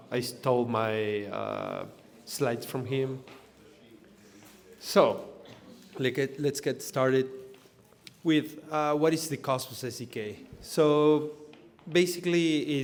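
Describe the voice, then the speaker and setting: A man from Argentina giving a technical conference talk as an engineer, explaining loosely with lots of fillers and restarts. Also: mid-range voice. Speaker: conference speaker, blockchain engineer. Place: event hall in front of an audience. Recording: echoey hall.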